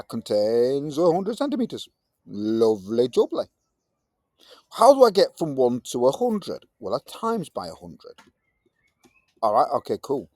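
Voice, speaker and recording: A man in his fifties with a Yorkshire accent, teaching a science lesson in a chatty, lively manner with British slang. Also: medium pitch, no echo, studio quality. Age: 50s. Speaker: tutor. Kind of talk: recorded tutoring lesson.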